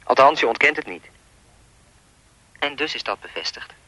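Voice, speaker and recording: A man's voice: mid-range voice, expressive, normal speed, sharp tone, dry recording, poor audio. An actor playing a creepy, insistent anonymous caller in a radio play, needling and insinuating as he speaks. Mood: taunting, smug.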